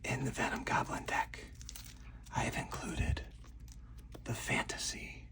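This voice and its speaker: Someone speaking in a breathy, deliberate, hushed whisper, in the style of an ASMR game playthrough with commentary. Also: distant mic. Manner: slow, deliberate, hushed delivery. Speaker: solo card game player and host.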